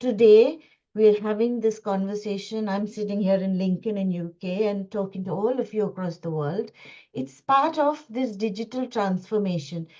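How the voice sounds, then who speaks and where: A woman from India giving an earnest webinar talk over a video call, halting and full of restarts and fillers. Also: mid-range voice, mellow. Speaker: guest speaker. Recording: dull lo-fi call audio.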